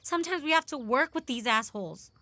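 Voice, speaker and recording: A woman's voice: high voice, animated, a little fast, bright, forceful tone, no echo. A woman acting a defiant, bitter operative in an audio drama, blunt, scolding and unsentimental. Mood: bitter, angry.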